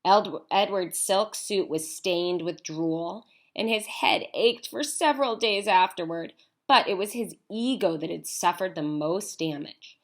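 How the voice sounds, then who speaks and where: A woman teacher reading a storybook aloud to children, expressive, with chatty asides. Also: fairly low pitch, a little slow. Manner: animated, with chatty asides to young listeners.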